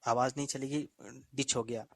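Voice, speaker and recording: A man's voice: mid-range voice, steady tone, moderate pace, a bit bright, a little hiss or hum. A man co-hosting a casual live audio show, speaking in a polite, appreciative manner. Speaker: co-host of an online audio story show.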